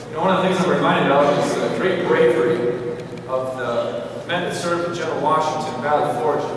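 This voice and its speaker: A man in his forties giving an animated keynote speech in a large armory hall with a loud voice, addressing the crowd directly through a handheld mic held low. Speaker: keynote speaker. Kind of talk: public speech. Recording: echoey hall, distant mic, noisy.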